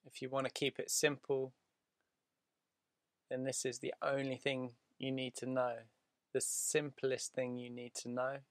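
A man leading a guided meditation in a soothing, deliberate way, leaving drawn-out pauses between short phrases. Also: medium pitch, completely flat delivery, dry recording.

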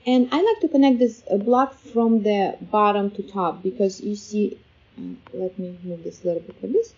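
A woman hosting a casual step-by-step software tutorial, explaining informally and a little hesitantly. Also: mid-range voice, normal speed. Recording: slightly dull, lo-fi voice-over.

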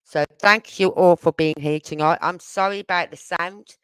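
A woman's voice: fairly low pitch, fairly even intonation, normal speed, fairly bright tone, smooth, dry recording, rough recording. A woman with a British accent hosting a casual true-crime livestream, chatting in a rambling, informal way. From United Kingdom. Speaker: livestream host.

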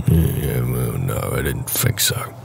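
Man voicing a very deep, slow-spoken orc raider. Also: lively intonation, vocal fry, a little mellow, slight background noise, exaggerated voice. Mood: wry, reassuring. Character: big gruff male orc raider, protective, wry. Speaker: voice actor. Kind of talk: boyfriend roleplay audio drama.

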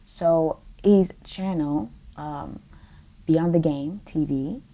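A woman's voice: low-pitched, slow pace, warm tone, poor audio. A young adult woman from the United States hosting a podcast interview, upbeat and sometimes searching for words, on an earbud mic over a video call from home. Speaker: podcast host. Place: home room. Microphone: earbud headset mic on a video call.

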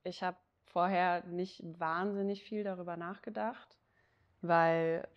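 A woman in her early thirties, an interviewee photographer, talking casually in quick lively bursts, seated indoors. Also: lowish voice, vocal fry. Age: 30s.

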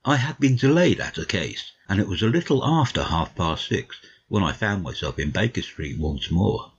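Deep, husky man narrating a story. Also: sing-song, moderate pace, dull audio. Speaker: audiobook narrator. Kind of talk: literary first-person narration in a dramatic reading.

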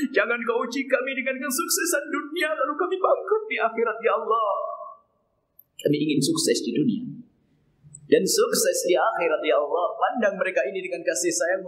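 A middle-aged man leading an impassioned Friday sermon prayer, pleading fervently and swinging from low murmurs to shouted cries, recorded on a headset mic at a mosque pulpit. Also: low-quality recording. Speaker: Friday preacher.

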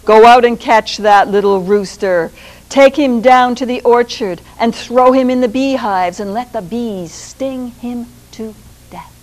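A woman's voice: on the high side, warm tone. A woman doing a bossy greedy sultan. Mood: commanding. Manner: slow, commanding orders.

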